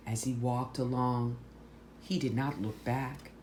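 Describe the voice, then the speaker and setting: A woman reading a picture book aloud to children, phrasing deliberately and stressing words for drama. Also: very deep voice, fairly even intonation, darkish tone, slight background noise. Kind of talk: slow, expressive picture-book read-aloud.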